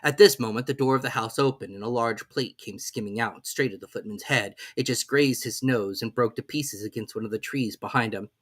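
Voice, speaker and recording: A woman's voice: rumbling low, fairly quick, few pauses, breathy edge. A woman narrating a storybook expressively as an audiobook reader.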